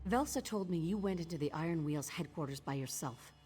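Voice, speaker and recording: A woman's voice: low voice, expressive, brisk, a little mellow. An actress playing a weary, guilt-ridden woman who leads a thieves' guild in a video game, speaking in an earnest, terse, commanding way. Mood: stern, worried. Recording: long reverb, music behind.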